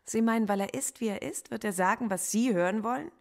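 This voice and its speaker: An actress playing a defiant middle-aged philosophy professor under interrogation in a German radio play, measured and coolly articulate. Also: female voice, a little high, close to an everyday voice. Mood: guarded, defiant.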